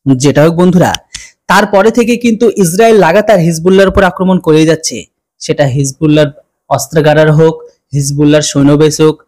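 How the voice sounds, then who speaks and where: A man's voice: fairly high pitch, a little mellow. A young man presents news commentary as the host of an online reaction video. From India.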